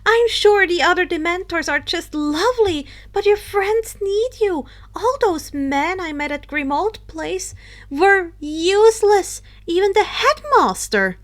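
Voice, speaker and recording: A woman plays an earnest young witch girl with a high, bright-eyed urgency. She speaks emphatically and indignantly, exclaiming as in a storybook reading. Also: expressive, unhurried, heavily acted. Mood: indignant, urgent.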